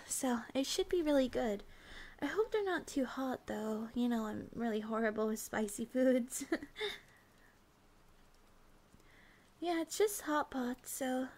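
Sleepy, affectionate student girlfriend for ASMR roleplay, played by a woman: high, soft, hesitant, unhurried delivery. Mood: sleepy, cuddly. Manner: soft, drowsy, hesitant, giggly.

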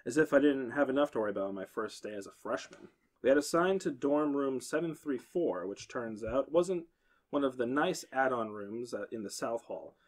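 A young man reads a horror story aloud to his friends with a lively, swooping delivery. His pitch jumps up for the girls' lines, then settles back to an easy middle register. It sounds like a casual podcast among friends. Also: normal speed. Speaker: podcast host reading the story.

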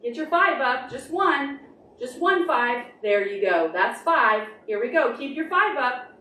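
A woman's voice: sits high, expressive, unhurried, pushed and bright, distant mic. A middle-aged woman talks encouragingly to small children in short, simple phrases as she leads a storytime. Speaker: children's librarian leading storytime. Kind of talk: interactive preschool storytime talk. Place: carpeted library meeting room with children and parents. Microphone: distant camera microphone. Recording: roomy, noisy recording from across the room.

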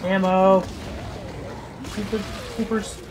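High-voiced man doing casual game commentary. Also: takes it slowly, noisy background. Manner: excitable, jokey, swearing. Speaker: let's play co-host. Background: horror game sound.

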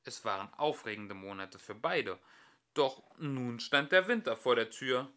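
A young man reads a children's story aloud in a bright, clear-cut tone. His delivery is expressive and lively in pitch, like an amateur audiobook narrator telling a tale to a child. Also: a little slow.

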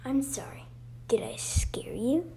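A woman voicing a menacing, taunting shadow villain in an amateur cartoon, with a mocking, drawn-out delivery. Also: high voice, very animated, vocal fry, dark tone, whispered, heavily acted. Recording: noisy amateur home recording.

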